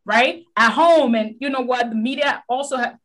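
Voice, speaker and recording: Animated West African woman storyteller. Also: sits high, moderate pace. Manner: animated storytelling, rising to emphasis. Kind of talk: casual discussion.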